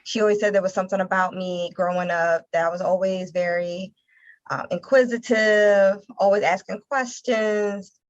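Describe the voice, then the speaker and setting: An American woman from Philadelphia speaks as an interview guest. Her pitch sits at an average height and stays fairly even, with halting, repeated words as she thinks. The recording is slightly dull and lo-fi. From Philadelphia, United States. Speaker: interview guest, nonprofit communications director. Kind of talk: conversational interview answers.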